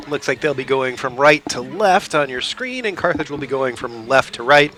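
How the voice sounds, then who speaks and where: A man with a hoarse voice from a cold, giving relaxed play-by-play for a soccer match with small restarts along the way. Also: mid-range voice, moderate pace, a little hiss or hum. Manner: relaxed, steady, chatty, with small restarts and throat clearing. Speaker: play-by-play commentator. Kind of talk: live sports commentary. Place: outdoor soccer field.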